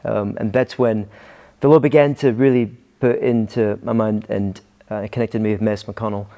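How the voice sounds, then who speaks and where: A Scottish pastor in middle age, talking as an interview guest. His voice is dark and gravelly, and he often hesitates and restarts his sentences. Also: on the low side, steady tone, normal speed. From Scotland. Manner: hesitant, many ums and restarts. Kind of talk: casual interview talk.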